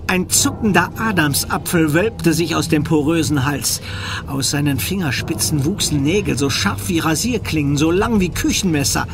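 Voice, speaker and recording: A male storyteller narrates a radio play with measured suspense. His voice is slightly high and clearly creaky, set over a music bed. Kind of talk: scripted dramatic storytelling.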